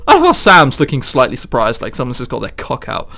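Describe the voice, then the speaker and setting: A man hosting a visual novel playthrough, reading aloud and commenting in an excitable, irreverent, sweary way. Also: mid-range voice, fairly quick, gravelly. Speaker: let's play host. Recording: game music behind the voice.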